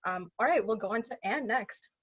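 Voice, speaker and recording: A woman's voice: fairly high pitch, animated, brisk, a bit bright, husky, no room sound. Upbeat woman moderator on a lo-fi video call. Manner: upbeat, exclamatory reactions. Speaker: panel moderator. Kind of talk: casual online panel hosting.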